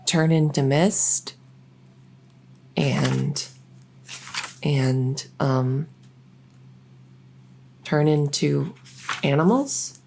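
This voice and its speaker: Female performer as flustered fledgling vampire, ASMR roleplay. Mellow, very low-key tone, low pitch, earnest and hesitant. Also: gravelly, a little hiss or hum, full character voice, poor audio. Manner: halting, hesitant, stammering.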